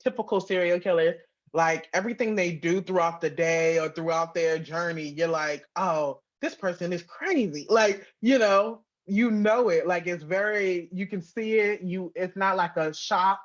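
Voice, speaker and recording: A woman's voice: on the low side, moderate pace. Chatty woman, slightly dull audio. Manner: animated, informal, frequent "like". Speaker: guest, audio drama writer and producer. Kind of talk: casual interview chat.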